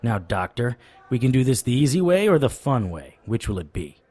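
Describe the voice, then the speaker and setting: An actor playing a wry, tough supernatural private investigator in a noir audio drama, with a deadpan, sardonic delivery. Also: male voice, mid-range voice, moderate pace, dry recording. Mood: threatening.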